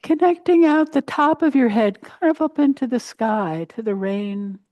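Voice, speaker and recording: A woman teaching a guided meditation in a gentle, unhurried way, pausing between short phrases. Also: a little high, animated, no echo, muffled recording. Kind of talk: guided meditation, body scan.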